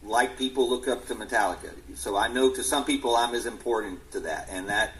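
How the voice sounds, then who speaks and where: A man's voice: mid-range voice, fairly bright tone, low-quality recording. A man in his forties, a musician answering interview questions earnestly, often restarting his sentences. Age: 40s. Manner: earnest, halting with many restarts. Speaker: musician guest.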